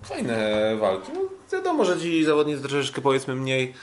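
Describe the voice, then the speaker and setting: Halting man chatting casually. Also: mid-range voice, big pitch swings, a little hiss or hum. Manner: hesitant, halting, repeats himself. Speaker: podcast co-host and kickboxing commentator. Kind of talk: casual unscripted sports chat.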